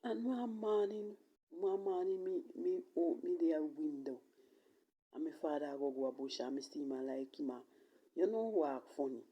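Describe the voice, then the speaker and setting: A woman with a Jamaican accent telling a personal story casually in patois, in a halting, emphatic way that repeats phrases. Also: low-pitched, slightly rough, dull audio. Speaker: vlogger telling own life story.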